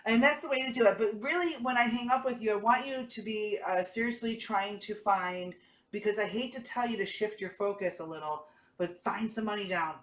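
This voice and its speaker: Echoey room, distant mic, mid-pitched voice, salesperson, conversational sales call, persuasive explaining. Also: brisk, a bit bright. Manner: persuasive, explanatory, checks in with "right?". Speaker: car finance salesperson and sales trainer.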